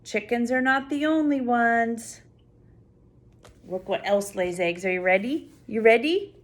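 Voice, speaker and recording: An older woman reading a picture book aloud to small children, full of wonder, pausing to explain things simply and add asides. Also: a little high. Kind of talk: read-aloud story time with spontaneous asides to a child.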